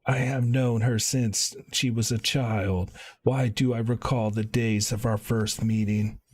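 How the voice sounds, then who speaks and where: A man voicing a kindly, formal fatherly caretaker from a fantasy game, with halting, old-fashioned phrasing. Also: fairly low pitch, steady tone, a little mellow, husky, no echo. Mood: grave, kindly.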